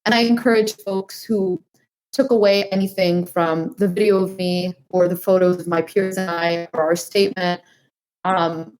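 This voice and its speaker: A woman's voice: mid-range voice, darkish tone, poor audio. A woman in her twenties from New Hampshire, speaking earnestly as a podcast guest, with many fillers like "like" and "um". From New Hampshire, United States. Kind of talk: interview answers.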